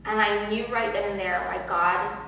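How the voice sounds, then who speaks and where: Interview guest, woman, loud and heartfelt; roomy, distant sound. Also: mid-range voice, steady tone, normal speed, gravelly. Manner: earnest, emotional, many fillers and repeats. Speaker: podcast guest. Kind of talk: personal storytelling in an interview. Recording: echoey hall from far off, faint noise.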